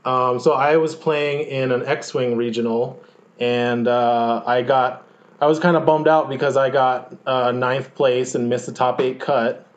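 A man's voice: mid-range voice, fairly even intonation, measured pace. Hesitant young man from Hawaii, lo-fi audio. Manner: hesitant, filler-heavy. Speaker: podcast guest. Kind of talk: casual self-introduction.

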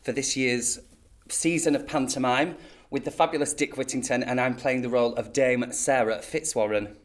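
A man's voice: medium pitch, steady tone, normal speed. A British man, an actor being interviewed, explaining things with enthusiasm. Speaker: pantomime dame actor, interview guest.

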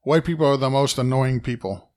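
A man co-hosting a podcast, loud by his own account, reading out listener questions and arguing back. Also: medium pitch, steady tone, a little fast. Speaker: podcast co-host. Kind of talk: casual podcast banter.

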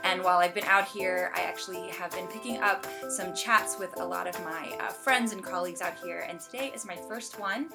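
A woman hosting a casual podcast chat in a bubbly way, quick to laugh. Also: lowish voice, vocal fry, music in the background. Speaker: podcast host.

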